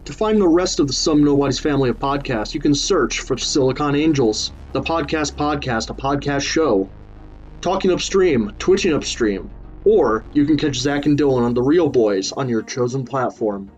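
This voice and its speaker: A man's voice: medium pitch, animated, moderate pace, a little mellow. Slightly dull-sounding male podcast announcer over music. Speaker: announcer reading credits. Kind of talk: scripted podcast outro.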